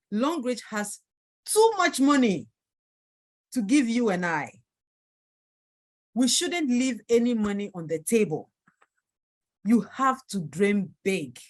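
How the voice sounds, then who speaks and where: A woman with a Nigerian accent giving a motivational sales training talk, stressing and repeating her key points. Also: medium pitch. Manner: emphatic, repeats key phrases. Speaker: network marketing team leader.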